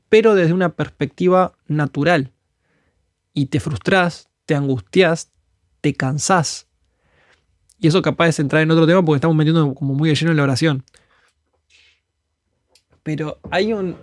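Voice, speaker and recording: A man's voice: on the high side, a little mellow, no echo, studio quality. A man from Argentina with an Argentine Spanish accent, speaking earnestly on a podcast and acting out prayers as he preaches in a conversational way. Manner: earnest and fervent, acts out prayers as quotes. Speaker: guest speaker.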